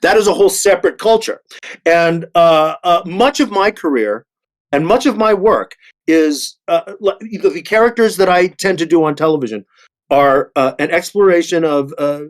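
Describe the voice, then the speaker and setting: An older man talks in a panel discussion. His voice sits a little high. He stammers and repeats words, feeling his way through each thought. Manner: halting, stammering, repeats words as he searches for phrasing. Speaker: actor and panel discussion host.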